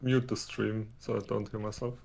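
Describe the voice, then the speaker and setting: A man's voice: lowish voice, little pitch movement, normal speed, creaky, darkish tone, breathy edge. A man speaking casually as a guest being interviewed on a livestream. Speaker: livestream interview guest, skin maker and racer. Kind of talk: casual chat.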